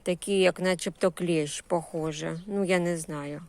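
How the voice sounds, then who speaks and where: A woman's voice: on the low side, steady tone, measured pace, darkish tone. Homely Ukrainian woman, casual garden narration. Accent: Ukrainian with Russian-influenced surzhyk words. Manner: plain homely talk in short phrases with diminutives. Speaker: home gardener giving a garden tour. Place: walking through a vegetable garden and greenhouse.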